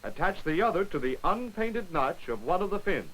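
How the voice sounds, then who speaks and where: A man giving brisk, wry scripted narration for a 1940s military training film, on an old film soundtrack. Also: high-pitched, sing-song, a bit bright, poor audio.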